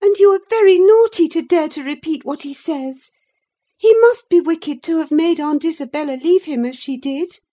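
A woman voicing a spirited, outspoken young lady in an audiobook, pert, emphatic and quick to anger. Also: high voice, dark tone, voice pushed up, lightly acted. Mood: indignant, angry. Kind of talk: dramatised audiobook reading of a classic novel.

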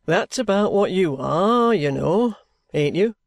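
A reader voicing a sly, genial middle-aged male detective with a cheerful, coaxing, unhurried manner. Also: medium pitch, expressive, gravelly, no room sound, studio quality. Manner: cheerful, coaxing, leisurely drawl. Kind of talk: audiobook character reading.

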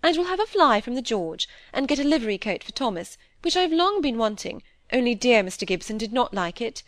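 Fussy genteel mother played by a woman reader, pitched high, plaintive, self-pitying, audiobook fiction. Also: sing-song, a little fast, no room sound, clean studio sound. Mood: eagerly scheming. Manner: plaintive, ostentatiously sentimental.

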